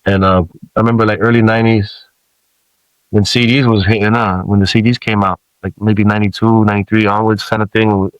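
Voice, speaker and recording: A man in his forties who grew up in Samoa speaks in a lowish voice, unhurried and full of fillers as he tells stories about growing up. The sound is close and dry, with no room around it. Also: smooth, dry recording, dull audio. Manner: relaxed storytelling with many fillers. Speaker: rapper guest. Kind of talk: casual interview talk.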